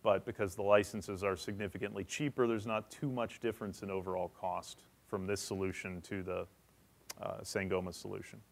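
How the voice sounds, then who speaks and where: A man's voice: low-pitched, flat delivery, normal speed, vocal fry. A man presenting a technical session at a conference, explaining in a matter-of-fact way.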